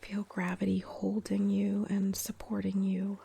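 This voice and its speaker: Female meditation guide, whispery and soothing, mellow tone, very even delivery with gentle pauses. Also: mid-range voice. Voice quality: breathy, whispery. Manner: calm, soothing, gentle, unhurried with long pauses. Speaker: meditation guide, yoga teacher. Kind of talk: guided meditation, soft-spoken.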